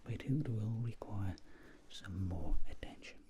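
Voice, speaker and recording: A man speaking in a soft, breathy whisper, gently playing a polite, reassuring ear examiner in an ASMR roleplay. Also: fairly low pitch, measured pace, a little hiss or hum.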